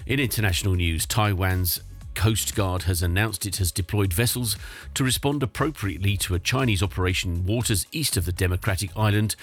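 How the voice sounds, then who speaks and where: A man with a low, slightly husky voice reads the morning radio news bulletin. His delivery is measured and even, as he works through headlines, sport and weather. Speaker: radio newsreader.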